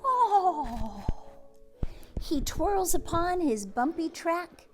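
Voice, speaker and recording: A woman hosting a read-aloud for young children, speaking expressively and gently, with hushes and words that drop toward a whisper. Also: very high voice, big pitch swings, extremely slow, music in the background. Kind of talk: children's storybook read-aloud and chat to families.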